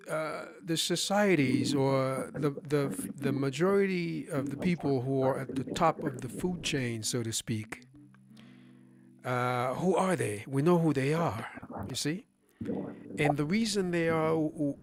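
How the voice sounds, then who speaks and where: A man hosting a live stream in a casual discussion, talking emphatically, putting rhetorical questions to his listener and often restarting his phrases. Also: mid-range voice. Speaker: livestream host.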